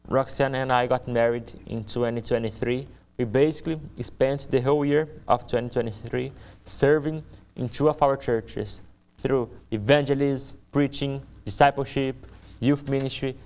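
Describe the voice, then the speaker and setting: A young man with a Brazilian accent, a native Portuguese speaker, speaking as a missionary giving his testimony to a congregation in a deliberate way, repeating phrases for emphasis. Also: medium pitch, clean tone. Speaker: missionary giving a ministry presentation. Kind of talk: church testimony speech to a congregation.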